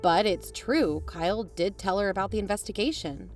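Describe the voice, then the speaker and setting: A woman's voice: medium pitch, normal speed, faint music behind, close to an everyday voice. A woman playing a pragmatic documentary-maker and concerned friend in an audio drama, conversational, frank and pressing. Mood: concerned, urgent. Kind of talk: naturalistic audio drama acting.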